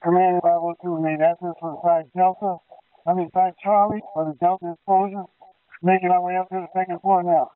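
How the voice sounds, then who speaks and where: A man's voice: sits high, level tone, brisk, dry recording. A male firefighter giving brief status reports over a two-way radio. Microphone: handheld radio. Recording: tinny band-limited two-way radio traffic.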